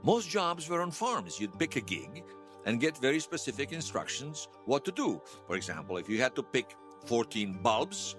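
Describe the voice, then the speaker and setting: A man's voice: medium pitch, a bit bright. An actor playing a nostalgic, wryly amused man recalling his past conversationally in an interview-style audio drama. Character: nostalgic man recalling lost love in a future world.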